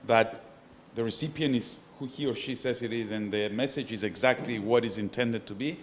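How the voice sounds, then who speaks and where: A man with a Cypriot Greek accent speaks as an academic panelist in a conference hall, his voice at a middle pitch. He is earnest and measured, stumbling briefly over restarts. Faint room ambience hangs in the background. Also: a little hiss or hum. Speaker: panelist, professor of international relations.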